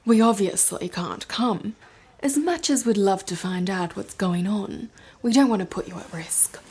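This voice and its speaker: A woman playing a cautious, considerate ally in an audio drama, speaking in a measured way. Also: medium pitch, mellow, husky. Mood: cautious, gentle.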